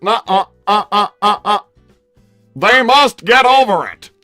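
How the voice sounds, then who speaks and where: A man voicing an emphatic, bombastic male cartoon character, loud and declamatory. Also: high-pitched, animated, unhurried, soft background music, full character voice. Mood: insistent, outraged.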